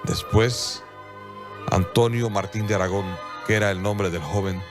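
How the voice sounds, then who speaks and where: A man giving solemn, scripted radio drama narration with measured, dramatic pauses. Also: on the low side, steady tone, over music.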